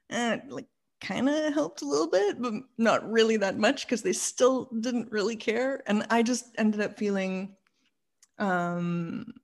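A woman's voice: on the low side, a little mellow. A woman in her forties with a Canadian accent, telling personal stories casually and chattily as a podcast guest. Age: 40s.

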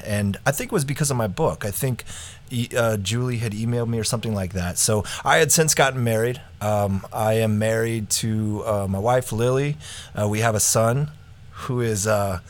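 Low-voiced relaxed man. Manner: relaxed, lots of fillers, laughs along. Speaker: podcast co-host. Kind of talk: casual conversational introduction.

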